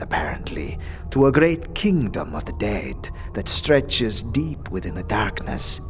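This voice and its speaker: Man as eerie devout cultist, slow formal speech, swooping intonation, music behind, fantasy audio drama. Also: mid-range voice. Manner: measured, formal, pious. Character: pale male death-cult missionary, calm, devout, quietly eerie.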